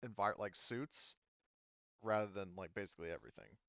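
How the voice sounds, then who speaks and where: A man with a low, smooth and resonant voice runs a tabletop game session, explaining options with frequent ums and self-corrections. The sound is dry and a bit dull, like lo-fi voice chat. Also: brisk, fairly bright tone, dry recording. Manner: hesitant, many ums and restarts. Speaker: game master.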